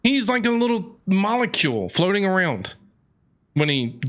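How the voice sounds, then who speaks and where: A man streaming a video game, reacting excitedly in casual commentary and talking back to the characters. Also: high-pitched, moderate pace. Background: game music.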